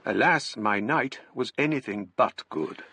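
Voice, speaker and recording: An actor playing a grave gentleman narrator with an English accent, telling a gothic tale in literary, dramatic style for radio. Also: medium pitch, lively intonation, measured pace, full character voice. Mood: haunted.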